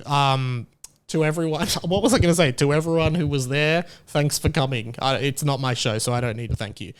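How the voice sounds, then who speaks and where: A man hosting his own podcast, chatting casually on his own in an animated, rambling way, often restarting sentences and sounding openly incredulous. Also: a little high, smooth. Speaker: podcast host. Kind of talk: casual solo podcast chat.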